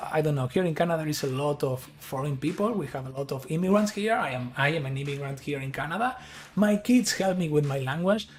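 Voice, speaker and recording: Argentine-accented man with a higher voice. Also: darkish tone. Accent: Argentine Spanish. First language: Spanish. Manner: earnest, halting with restarts. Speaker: conference speaker. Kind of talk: presentation talk.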